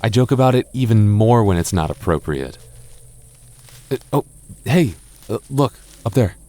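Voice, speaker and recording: A young man in a close-mic boyfriend roleplay, talking softly with halting restarts and light jokes. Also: fairly low pitch, expressive. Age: young adult. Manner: soft-spoken, halting, jokey and reassuring; slow measured reading of a rhyme.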